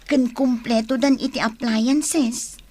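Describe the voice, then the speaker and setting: Nosy envious neighbour wife in a radio drama, high voice, ilocano accent, always prodding. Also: female voice, steady tone, slow pace, dark tone, breathy edge. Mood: nagging. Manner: gossipy, prodding, exclamatory. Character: nagging envious village wife and gossipy neighbour.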